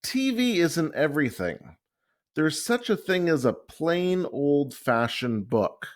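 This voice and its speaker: A man's voice: fairly high pitch, sing-song, slow pace. A man voicing a kindly but firm mother bear who explains things in a storybook. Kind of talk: storybook character voice.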